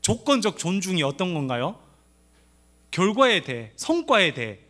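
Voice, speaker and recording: A middle-aged pastor from the Gyeongsang region, with a faint Gyeongsang accent, gives a church family seminar. His voice sits high and bright, and his pitch swings widely. He talks in a playful way and acts out the lines he quotes. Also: big pitch swings, moderate pace. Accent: light Gyeongsang. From Gyeongsang region of Korea.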